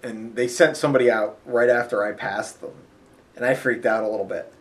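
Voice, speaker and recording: Man, sniffly, casual rambling road-trip chat, mid-pitched voice. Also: steady tone, brisk. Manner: rambling, chatty, with fillers. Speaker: vlogger. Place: hotel.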